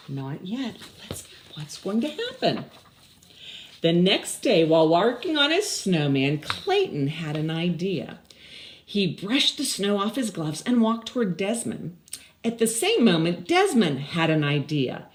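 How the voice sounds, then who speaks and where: A woman's voice: lowish voice, very animated, unhurried, slight background noise. An older woman hosting story time for children, reading and chatting expressively with questions for young listeners. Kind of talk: picture-book read-aloud and chatting to children. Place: small indoor room with blinds.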